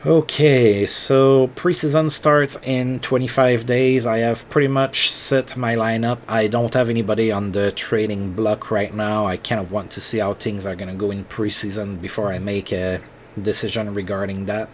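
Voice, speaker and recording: A man with a French accent, thinking aloud in halting, casual commentary while playing a management game on his computer. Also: fairly low pitch, level tone, slight background noise. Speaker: let's play host.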